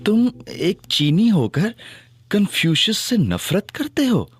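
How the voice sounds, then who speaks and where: A man's voice: sits high, very animated, takes it slowly, slightly rough, no echo, soft background music. A man narrating an audio drama in first person and playing a cheeky, mock-innocent young hero.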